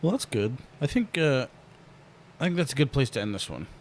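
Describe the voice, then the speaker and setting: A man's voice: mid-range voice, very animated, fairly quick, a little hiss or hum. Laid-back, cursing male podcast host. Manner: laid-back, swears freely, jokey. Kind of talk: casual podcast chat.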